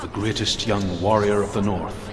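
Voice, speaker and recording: A man playing a grave, reverent storyteller of Norse legends in a video game, solemn and measured. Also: on the low side, steady tone, close to an everyday voice. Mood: solemn storytelling. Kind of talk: slow dramatic myth narration. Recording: long cavernous reverb, clear background noise.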